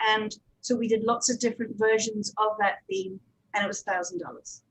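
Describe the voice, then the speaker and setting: A woman's voice: medium pitch, steady tone, a little fast, husky, low-quality recording. A woman giving an informal panel presentation as an audio producer, talking conversationally with anecdotes and digressive asides.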